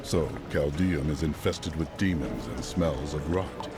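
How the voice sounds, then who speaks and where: A deep, curt warrior hero. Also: male voice, unhurried, over loud music. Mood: stern. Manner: blunt, curt. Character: stern, terse male warrior hero. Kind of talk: video game voice acting.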